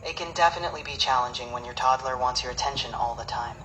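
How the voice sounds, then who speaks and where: A calm, even-toned synthetic assistant voice that gives advice in smooth, scripted replies. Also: mid-range voice, fast talker, far from the mic, a little hiss or hum, rough recording. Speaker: AI chat assistant.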